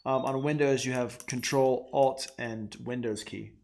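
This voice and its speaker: A man giving a casual software tutorial as a music production teacher, informal and conversational, thinking aloud. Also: medium pitch, steady tone, unhurried, vocal fry, background noise.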